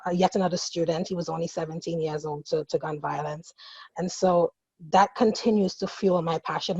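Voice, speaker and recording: A woman graduate student on a panel, telling her path into education with passion, turning quieter and heavier as she speaks of loss. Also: low-pitched, slightly rough, no echo. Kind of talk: earnest personal account. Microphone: video call. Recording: dull lo-fi video call audio.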